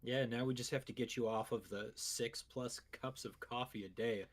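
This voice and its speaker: A male co-host with an average-pitched voice. He speaks in a casual, conversational way, as in podcast banter around a sponsor spot. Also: fairly even intonation, normal speed.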